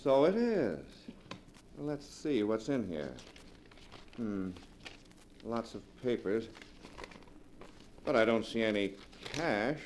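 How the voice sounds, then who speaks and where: A suave, scheming nephew, talks in a mocking, sardonic way, and his pitch rises and falls widely. It is classic 1940s radio melodrama acting. The old broadcast recording gives it a dated sound. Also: big pitch swings, slow pace, pauses often, darkish tone, a little hiss or hum.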